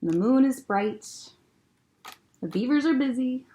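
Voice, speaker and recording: A children's librarian reads a picture book aloud, slow and gentle, stopping to point out things in the pictures. Her fairly high voice is lively, swooping up and down with expression.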